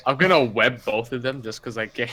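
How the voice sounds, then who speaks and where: A young man plays a tabletop game with friends. His delivery is animated, moving widely in pitch around a middle register. He is casual, mischievous and teasing. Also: normal speed. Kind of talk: casual joking game chat.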